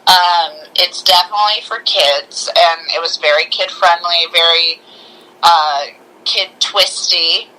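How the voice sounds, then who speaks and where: A woman with a very bright, forward voice talks casually as a review co-host. She sounds informal and enthusiastic, feeling her way through her thoughts in a halting, conversational way. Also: on the low side, steady tone, low-quality recording. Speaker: podcast co-host reviewing films. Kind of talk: casual chat.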